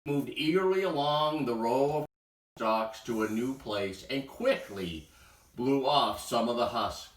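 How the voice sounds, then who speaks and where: A man reading a children's bedtime story aloud in an expressive storytelling style. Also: mid-range voice, a little slow. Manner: expressive, acts out sound words. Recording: roomy echo, distant from mic.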